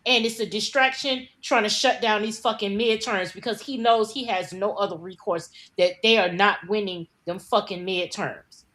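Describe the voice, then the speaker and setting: A woman's voice: medium pitch, fairly even intonation, normal speed, hardly any pauses, fairly bright tone. A woman from the United States co-hosting a news podcast, ranting bluntly and with plenty of profanity. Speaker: podcast co-host. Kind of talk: heated casual news commentary.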